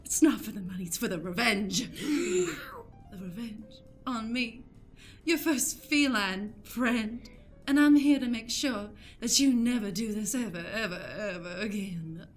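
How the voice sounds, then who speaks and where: A woman's voice: fairly high pitch, a little slow, faint music behind, close to an everyday voice. A woman playing a purring, vengeful cat woman with melodramatic delivery in an improvised comic radio play. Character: purring, vengeful woman who was turned into a pet cat.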